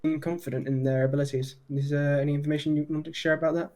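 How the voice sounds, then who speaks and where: A man improvising a formal police detective's interview in a roleplay, measured, with stumbles and self-corrections. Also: fairly high pitch, flat delivery, darkish tone.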